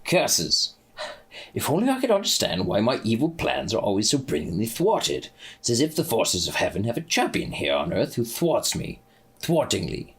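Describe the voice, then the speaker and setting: A man's voice: medium pitch, huge pitch swings, moderate pace, breathy edge, pitched above the performer's own voice, heavily acted. A man voicing a scheming male demon who hams up villainous lines. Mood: hammy. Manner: hammy, overacted villain speech.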